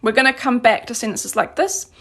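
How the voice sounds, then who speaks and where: A woman's slightly high voice with a noticeable gravelly fry runs through this live lesson. She explains sentence by sentence, praising viewers' answers and taking their questions as she goes. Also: expressive, brisk, bright, forceful tone. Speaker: Korean language teacher. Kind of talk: live online grammar lesson. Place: indoors at a desk. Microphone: phone camera above a desk.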